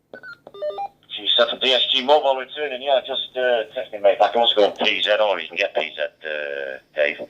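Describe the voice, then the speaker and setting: A man's voice: on the low side, wildly swooping pitch, moderate pace, fairly bright tone. A man chatting casually as an amateur radio operator, heard over a two-way digital radio. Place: mobile radio station. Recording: dull, band-limited two-way radio transmission.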